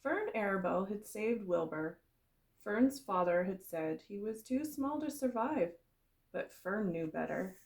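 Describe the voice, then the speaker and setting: A woman reads a children's story aloud with expressive phrasing. Her mid-pitched voice has a noticeable creak, and she tells it clearly for very young listeners, like a teacher in front of a kindergarten class. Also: unhurried.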